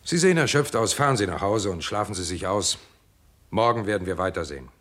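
Wry, low-voiced police investigator. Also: male voice, lively intonation, fast talker, fairly bright tone. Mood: thoughtful, wry. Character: wry, unruffled police investigator, paternal toward the amateur. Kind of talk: 1960s German radio crime drama acting.

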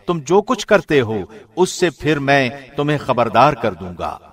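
A man giving a formal studio reading of a scripture translation, measured and solemn. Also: medium pitch. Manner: measured, solemn, even delivery. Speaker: translation reader. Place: recording studio.

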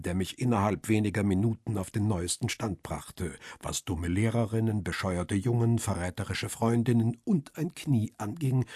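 An actor playing a middle-aged photographer and devoted husband, narrating a radio crime drama in a measured, reflective, literary way. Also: male voice, fairly low pitch, a little mellow, husky, dry recording, clean studio sound.

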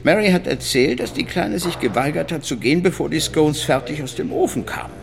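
A man's voice: a little high, animated, a little fast, vocal fry, slight background noise. An actor playing a guarded, middle-aged father being questioned in a German radio drama. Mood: guarded, reflective. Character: Alex Henry, soft-spoken father and museum man under questioning.

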